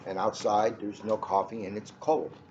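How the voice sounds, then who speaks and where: A middle-aged man with unhurried, deliberate phrasing, chatting casually and reading a storybook aloud. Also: fairly low pitch, little pitch movement, darkish tone. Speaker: police officer reading a picture book aloud. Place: coffee shop, indoors. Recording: muffled, noisy room recording.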